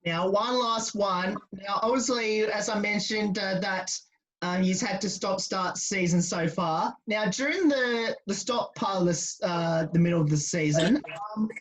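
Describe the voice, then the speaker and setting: A woman's voice: mid-range voice, unhurried, rough recording. Woman interviewer asking hesitant questions. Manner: hesitant, filler-heavy questioning. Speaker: radio interviewer.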